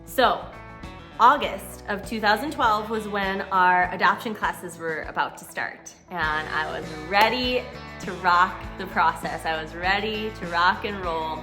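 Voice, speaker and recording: A woman's voice: medium pitch, measured pace, over music. A middle-aged American woman talking conversationally in a personal storytelling vlog, earnest and reflective, with emphasis on key words. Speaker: vlogger telling her own story.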